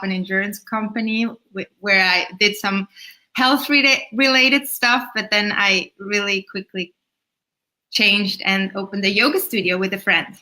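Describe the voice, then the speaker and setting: A woman's voice: mid-range voice, bright, forceful tone, poor audio. A woman in her thirties from Switzerland, a yoga teacher speaking casually as an interview guest, with Swiss German as her first language. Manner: chatty, laughs between thoughts.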